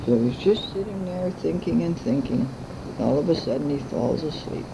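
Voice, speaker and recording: A woman's voice: deep voice, lively intonation, normal speed, creaky, highs cut off. An elderly grandmother telling bedtime stories informally, in simple, repetitive, homespun phrasing. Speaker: grandmother storyteller. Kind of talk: informal oral bedtime storytelling. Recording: noisy amateur home recording.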